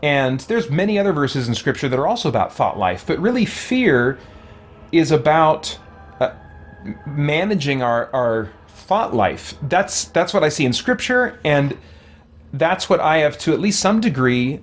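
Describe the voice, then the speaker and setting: A man hosting a casual livestream, speaking at a medium pitch in a voice worn from long talking. He is earnest and reflective, working out his answers aloud and doubling back to rephrase. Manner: earnest, reflective, thinks aloud with self-corrections. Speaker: host, video game content creator. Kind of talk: casual livestream talk, answering viewer questions.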